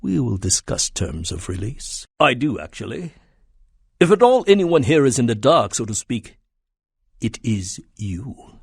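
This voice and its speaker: A man's voice: medium pitch, sing-song, a little slow, gravelly, breathy edge, dry recording, studio quality, full character voice. A man playing a cold, polite, menacing syndicate scientist in a video game, speaking in a formal, clinical, condescending way. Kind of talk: video game villain voice acting.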